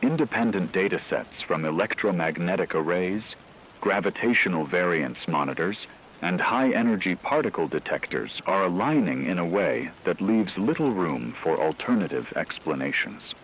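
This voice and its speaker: A man playing a grave radio news anchor, delivering a scripted report in a solemn, formal, measured way. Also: low-pitched, animated, a bit bright. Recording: noisy, rain-like background ambience.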